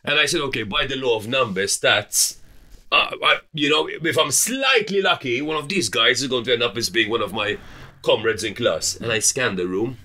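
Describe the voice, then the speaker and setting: A middle-aged man from Malta talks as a podcast guest with a lively, swooping delivery and a bright, forceful tone. He swears freely, stumbles and restarts as he tells his stories in relaxed chat. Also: medium pitch, normal speed. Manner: animated, swearing storyteller with frequent restarts.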